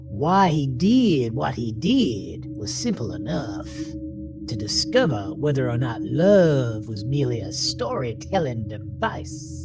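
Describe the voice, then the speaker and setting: A man narrating a horror story in a theatrical, deliberate, brooding way, as scripted audio drama. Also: medium pitch.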